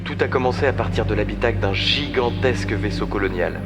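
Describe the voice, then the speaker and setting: A man voicing a gentle father who speaks softly and patiently while telling his daughter a bedtime story. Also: fairly low pitch, brisk, natural voice. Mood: storytelling. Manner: soft and patient. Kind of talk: amateur audio drama acting. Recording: reverberant, under music.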